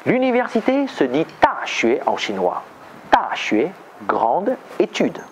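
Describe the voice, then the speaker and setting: A man's voice: fairly high pitch, wildly swooping pitch, takes it slowly, a little hiss or hum. A man from China, a native Chinese speaker, presenting and interviewing in a scripted style. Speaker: host and interviewer.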